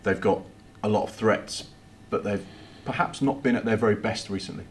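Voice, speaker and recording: Low-voiced reporter asking questions. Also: male voice, moderate pace, slight background noise. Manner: prepared, formal questions. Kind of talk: press conference questions.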